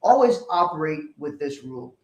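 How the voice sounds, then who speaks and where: A man with a mixed West African accent, explaining money rules in a relaxed co-host chat. His voice sits a little high for a man and repeats phrases as he goes. The room is echoey. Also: normal speed. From Liberia, West Africa. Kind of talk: casual financial advice chat.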